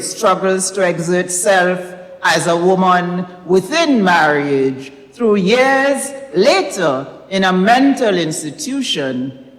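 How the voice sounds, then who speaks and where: Slow-speaking woman in echoey hall. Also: fairly low pitch. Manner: measured, deliberate phrasing with pauses. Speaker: author presenting own novel. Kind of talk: prepared public talk at a literary reading. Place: recital hall, indoors. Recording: echoey recital hall with long reverb.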